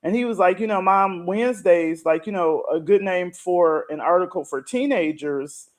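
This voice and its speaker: A woman's voice: lowish voice, normal speed. A middle-aged woman speaks as a guest coach in a casual, explanatory chat. She talks in a conversational way, laying things out point by point. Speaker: guest, retirement and money coach who writes articles.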